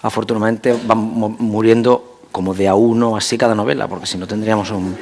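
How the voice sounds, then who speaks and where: Creaky-voiced male interviewer. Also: on the low side, steady tone, a little fast, a little mellow, a little hiss or hum. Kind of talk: conversational literary interview.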